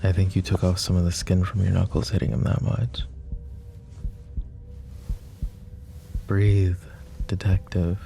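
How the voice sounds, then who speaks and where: A man playing a possessive, lovestruck mafia boss in an intimate audio roleplay, speaking softly and emotionally with halting phrases. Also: low-pitched, level tone, vocal fry. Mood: concerned, soothing. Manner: soft, emotive, halting delivery with stammers. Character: possessive, lovestruck young male mafia boss, tender and anguished. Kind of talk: intimate roleplay ASMR acting.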